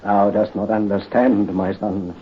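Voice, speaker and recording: An actor playing a proud, solemn aristocrat father facing execution, speaking gravely and declaiming in archaic 1930s radio drama style. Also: on the low side, steady tone, unhurried. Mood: solemn urging. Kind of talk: 1930s radio horror acting. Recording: muffled 1938 radio broadcast.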